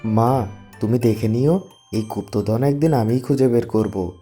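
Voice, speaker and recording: An actor voicing a determined, clever schoolboy in a cartoon. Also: male voice, medium pitch, a little slow, dark tone, faint music behind, natural voice. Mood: eager.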